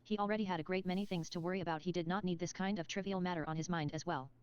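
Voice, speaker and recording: A woman reading scripted story narration in a measured, articulate storybook manner. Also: on the low side, steady tone, clean tone, highs cut off, lightly acted. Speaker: narrator of character lore.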